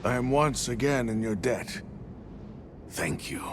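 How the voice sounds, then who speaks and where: An actor playing a grave, cautious village keeper in a game cutscene, speaking in formal, measured phrases. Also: male voice, medium pitch, noisy background, lightly acted. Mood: solemn, troubled. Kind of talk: dramatic video game cutscene acting. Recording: music behind the voice.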